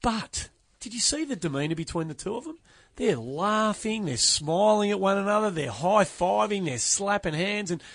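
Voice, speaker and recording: An Australian man hosts a casual golf chat on a studio microphone, his voice sitting a little high for a man. He talks in an animated, emphatic way and rattles through the numbers. The sound is dry and close. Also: no room sound. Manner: animated, emphatic, runs through stats quickly. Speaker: podcast host.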